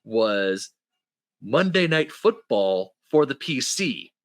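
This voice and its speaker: A man's voice: medium pitch, big pitch swings, slow, no room sound, studio quality. A man in his thirties hosting a podcast, chatting casually and rambling with many restarts. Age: 30s. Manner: rambling, full of restarts and fillers. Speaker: podcast host. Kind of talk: casual chat.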